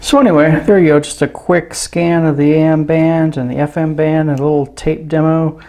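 A man's voice: a little high, steady tone, moderate pace, a little mellow, a little hiss or hum. A middle-aged man giving a casual, unscripted product review with easygoing, hesitant phrasing. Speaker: host of a gadget review channel.

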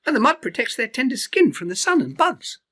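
A brisk, bossy little winged female creature from a storybook, voiced by an older man. She speaks high and very animatedly, coaxing with emphatic swoops. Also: wildly swooping pitch, pushed and bright, exaggerated voice. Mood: encouraging. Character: brisk, encouraging female winged friend of elephant.

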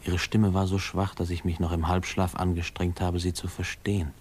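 A man's voice: low-pitched, flat delivery, moderate pace, a little mellow, close to an everyday voice. An actor playing a grown man remembering his boyhood, narrating a 1950s radio drama in a reflective, lyrical way. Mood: melancholy, reflective. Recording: faintly noisy old radio recording.

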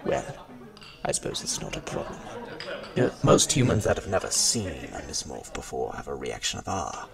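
A man voicing a proud, touchy alien mesomorph dignitary who is quick to take offence, with party chatter behind him. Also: a bass voice, huge pitch swings, gravelly, exaggerated voice. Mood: threatening. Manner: haughty, easily offended.

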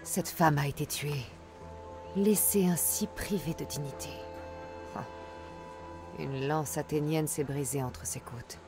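An actress playing a tough, self-assured female mercenary in a video game dub, speaking in a measured, firm way. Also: low-pitched, over music. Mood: pensive, investigating. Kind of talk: dubbed video game dialogue.

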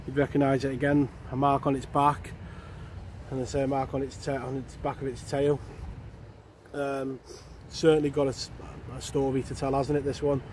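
Man, 30s, even monotone riverside fishing commentary, light outdoor noise. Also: medium pitch, moderate pace. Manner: plain, steady running commentary. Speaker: angling vlogger.

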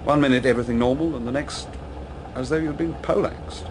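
An actor with a British accent playing a courteous, matter-of-fact army lieutenant in a radio drama. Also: male voice, mid-range voice, fairly quick, close to an everyday voice. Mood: grave, factual. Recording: noisy outdoor ambience with vehicles.

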